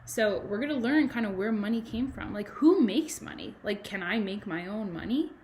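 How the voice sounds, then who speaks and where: A woman, a kindergarten teacher reading to children and adding chatty, animated explanations and questions in simple words. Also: medium pitch, normal speed, a little hiss or hum. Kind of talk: children's read-aloud with chatty asides.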